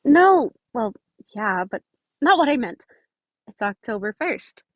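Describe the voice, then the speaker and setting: A woman's voice: fairly high pitch, very animated, takes it slowly, dry recording, dull audio, heavily acted. A woman voicing a guarded, blunt teenage boy in a storybook reading, with a curt, sardonic manner. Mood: dry, sardonic.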